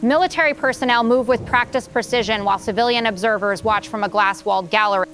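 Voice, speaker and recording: A woman's voice: a little high, fast, fairly bright tone, soft background music, close to an everyday voice. A woman playing an earnest, composed news correspondent giving a descriptive live report from the scene. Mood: composed, expectant.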